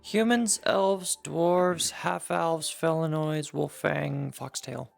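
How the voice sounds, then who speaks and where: A woman with a heavily creaky, gravelly voice speaks casually in online roleplay. She sounds plain and matter-of-fact, with music behind her. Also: sits low, steady tone, slow. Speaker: roleplayer in an adventuring party. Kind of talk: casual improvised roleplay chat in virtual reality.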